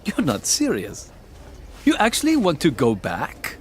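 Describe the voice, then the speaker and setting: Haughty skeptical husband, lively pitch. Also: male voice, measured pace, a little hiss or hum. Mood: dry, skeptical. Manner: pompous, articulate, dry. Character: skeptical, haughty intellectual husband, coolly logical and dismissive. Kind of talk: audio drama acting.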